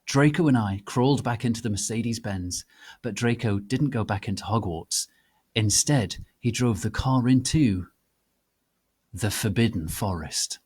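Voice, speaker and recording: A man giving a theatrical, deliberate dramatic reading of a piece of fan fiction. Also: on the low side, darkish tone, slightly rough. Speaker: reader.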